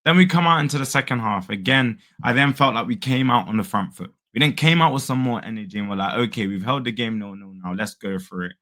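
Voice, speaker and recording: A young man whose voice is hoarse and fading hosts a casual football reaction vlog. He talks in a chatty, upbeat way and uses plenty of fillers. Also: lowish voice, fast talker, hardly any pauses, a bit bright. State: losing his voice. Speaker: football fan channel host.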